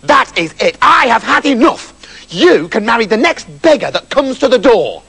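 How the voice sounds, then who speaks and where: An old storybook king played by a male storyteller, father to a spoilt child. He is firm and paternal, with patience wearing thin, and his delivery is lively in pitch. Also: normal speed, exaggerated voice. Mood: fed up, stern. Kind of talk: comic fairy-tale storytelling.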